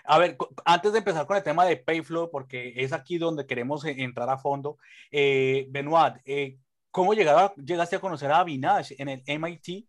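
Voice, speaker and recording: A man hosting a podcast in a casual, joking way, full of fillers and quick asides to his guests. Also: a little high, no room sound, clean studio sound. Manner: jokey, lively, lots of fillers and rhetorical tags. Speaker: podcast host.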